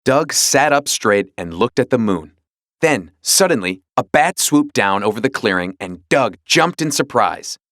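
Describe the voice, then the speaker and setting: A man narrating a children's read-along storybook in an expressive, dramatic storytelling manner. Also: medium pitch, a little slow, no room sound, clean studio sound.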